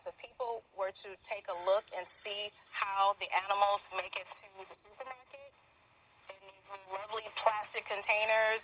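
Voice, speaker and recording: Female activist interviewee, mid-pitched, reflective testimony, heard over dull, noisy shortwave reception. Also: fairly bright tone. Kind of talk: personal testimony. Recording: muffled, noisy shortwave radio capture. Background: radio static noise.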